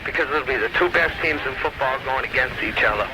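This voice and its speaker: Young caller, noisy phone-in recording. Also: male voice, mid-range voice, fast. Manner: emphatic, agreeable, colloquial. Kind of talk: casual sports fan chat. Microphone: telephone. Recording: noisy phone call on old radio.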